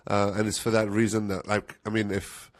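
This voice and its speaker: Lowish-voiced man from Algeria, relaxed. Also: normal speed, no room sound. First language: Arabic. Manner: relaxed, explanatory, with fillers. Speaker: guest, marketing analytics head. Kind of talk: podcast interview.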